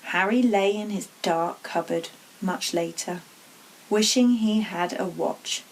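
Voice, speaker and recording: A woman's voice: mid-range voice, slow pace, low-quality recording. A woman narrating a children's storybook aloud as a teacher would to pupils.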